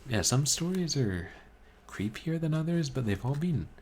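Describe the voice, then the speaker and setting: A man streaming a book reading, chatting casually with his chat in a calm, musing, halting way. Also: mid-range voice, a little hiss or hum. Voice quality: calming voice. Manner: musing, soft-spoken, halting. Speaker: streamer reading books aloud.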